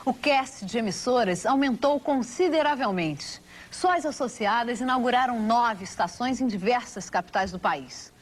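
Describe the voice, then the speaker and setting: A woman's voice: on the high side, lively intonation, moderate pace, hardly any pauses. A woman with a Brazilian accent reading scripted documentary narration.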